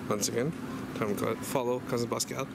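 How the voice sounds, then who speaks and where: A man's voice: mid-range voice, steady tone, a little fast, vocal fry, very noisy. A man in his thirties vlogging casually at night, enthusiastically tasting and rating a beer. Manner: enthusiastic, rating beers out of ten. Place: outdoors on a lit old town street at night. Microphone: camera held at arm's length.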